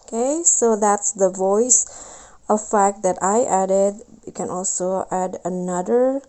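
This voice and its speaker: A woman's voice: medium pitch, takes it slowly, mellow. A woman hosting a casual step-by-step app tutorial in a voice-over. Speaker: tutorial host.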